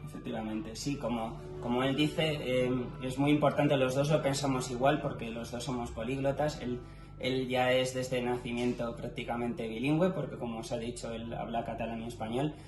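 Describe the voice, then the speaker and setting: A man's voice: mid-range voice, steady tone, moderate pace. Madrid man in an echoey room. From Madrid, Spain. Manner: frequent filler sounds and affirmations, hesitant restarts. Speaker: host of a language channel. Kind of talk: casual interview conversation. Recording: echoey room with music underneath.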